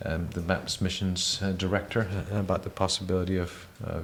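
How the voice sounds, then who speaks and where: Low-voiced man, measured and hesitant. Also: flat delivery, fairly bright tone. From Spain. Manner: measured, hesitant with many fillers. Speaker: missionary guest. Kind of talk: reflective personal testimony.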